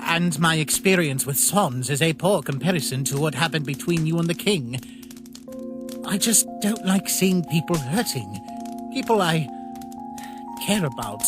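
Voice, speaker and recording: Casting: male actor as kind-hearted naive skeleton brother for a comic dub, halting, heartfelt delivery, music underneath. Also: a little high. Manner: earnest, halting, softly pleading. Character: earnest, gentle, naive male skeleton, caring younger brother. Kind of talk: dramatic comic dub acting. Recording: voice over background music.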